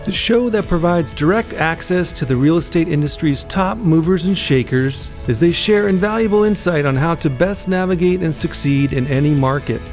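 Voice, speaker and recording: A man's voice: fairly high pitch, normal speed, few pauses, a little mellow, faint music behind. A man hosting a podcast who asks his guest questions in an upbeat, encouraging way. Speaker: podcast host. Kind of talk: podcast interview.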